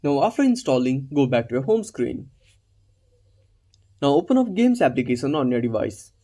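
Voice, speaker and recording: A man's voice: medium pitch, big pitch swings, normal speed, warm tone. A man narrating a scripted step-by-step tutorial as its host.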